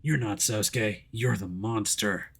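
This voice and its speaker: Casting: male reader as gruff, defiant detective, blunt and urgent, game story delivery. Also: fairly low pitch, unhurried, fairly bright tone, full character voice. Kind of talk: dramatic visual novel read-aloud.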